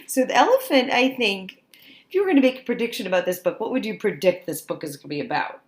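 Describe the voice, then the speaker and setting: A woman teacher reading a picture book aloud to children, expressive and coaxing, pausing to put questions to her young listeners. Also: mid-range voice.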